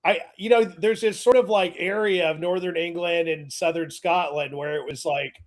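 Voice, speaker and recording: A man from Washington state hosts a relaxed chat over a video call, pitched a bit high. He rambles and stops himself often, circling back with fillers before he gets his point out. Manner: rambling, halting, many fillers and restarts. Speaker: host.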